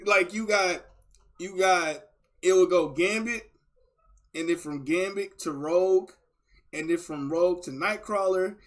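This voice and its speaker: A man streaming casual reaction chat, talking in a rambling, slangy, excitable fan style. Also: fairly high pitch. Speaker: streamer reacting to game voice lines.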